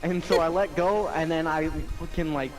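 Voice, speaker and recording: A man's voice: fairly high pitch, steady tone, normal speed, rough recording. Slangy young man over prominent music. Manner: slangy, profane, loose and rambling. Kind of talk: casual anecdote telling among friends.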